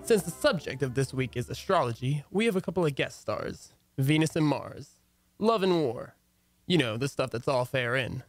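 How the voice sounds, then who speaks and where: A man's voice: mid-range voice, expressive, moderate pace, soft background music, close to an everyday voice. A man playing a wry, long-suffering husband and office worker in an old-time radio comedy, dry and wisecracking. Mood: wry narration.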